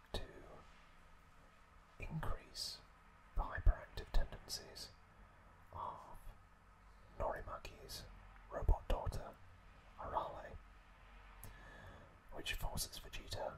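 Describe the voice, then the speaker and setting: A man whispering breathily as an ASMR host, recapping episodes in an informal, halting way. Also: rough recording. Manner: slow, halting, informal explaining.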